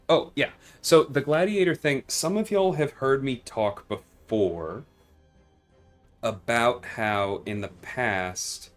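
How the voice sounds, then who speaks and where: A man hosting a livestream, talking casually and explaining things in a conversational way, often checking in with a "right?". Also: on the low side. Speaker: livestream host and game developer.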